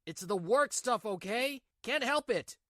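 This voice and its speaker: A woman's voice: a little high, animated, unhurried, fairly bright tone, no echo, clean studio sound. A woman voicing a selfish, irritable cheating husband with a dismissive manner in a manga dub. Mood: irritated, defensive. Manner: dismissive, snappy when challenged. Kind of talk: manga dub character acting.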